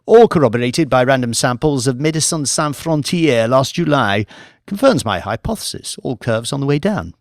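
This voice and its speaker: A man's voice: mid-range voice, expressive, normal speed, no echo, studio quality, lightly acted. A man voicing an eccentric, gleeful scientist in an audiobook, fussy, chatty and rambling, gloating as he talks. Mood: blustering, reassuring. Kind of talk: audiobook character voice.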